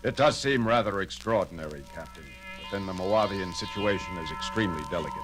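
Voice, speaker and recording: An actor playing a calm, logical male alien science officer who speaks in a measured, precise and formal way in a 1970s audio drama. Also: sits low, over music, close to an everyday voice. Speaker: first officer.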